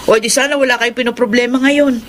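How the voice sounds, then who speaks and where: A woman's voice: a little high, fairly even intonation, fast talker, slight background noise, lightly acted. A woman playing a blunt, resentful grown daughter with an emphatic, scornful delivery in a radio drama. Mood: bitter, scolding. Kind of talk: Tagalog radio drama acting.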